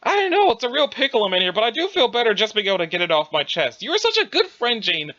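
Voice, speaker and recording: A man's voice: high voice, huge pitch swings, fast talker, pushed and bright, full character voice. Man acting a wordy, oblivious young adventurer, slightly muffled. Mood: rambling, fretful. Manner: wordy, old-fashioned folksy exclamations, bumbling. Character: rambling, oblivious, self-absorbed young male adventurer. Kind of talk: dramatized dialogue reading.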